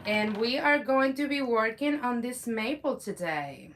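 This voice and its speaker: Bright, upbeat woman hosting a live stream. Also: on the high side, lively intonation, unhurried, slight background noise. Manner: upbeat, chatty, addresses viewers. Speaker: live-stream host, co-owner.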